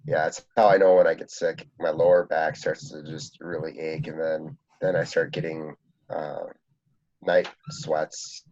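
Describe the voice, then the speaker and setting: A man's voice: extremely low pitch, little pitch movement, a little slow, darkish tone. A congested man chatting and joking with teammates over a video call, laughing often. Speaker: student team member. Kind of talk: casual video call chat. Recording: dull lo-fi call audio.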